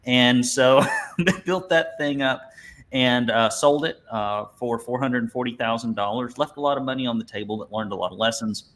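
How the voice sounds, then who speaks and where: A man's voice: medium pitch, moderate pace, a bit bright. A man with an Alabama Southern accent presents a webinar conversationally, in a folksy, joking way with colorful turns of phrase. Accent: Alabama Southern American. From Alabama, United States. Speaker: webinar instructor and business coach.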